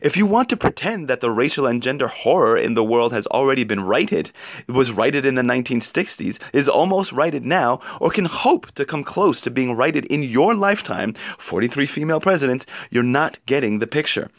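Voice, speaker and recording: Male narrator for opinion piece: emphatic, rhetorical, highly varied intonation, dry close sound. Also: animated, normal speed, no room sound. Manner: emphatic, rhetorical delivery with pointed questions. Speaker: narrator reading an article aloud. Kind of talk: expressive read-aloud of an opinion essay.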